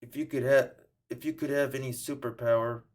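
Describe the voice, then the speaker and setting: A man's voice: mid-range voice, fairly even intonation, fairly quick, a little mellow, a little hiss or hum. Man reading short plain questions. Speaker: student interviewer.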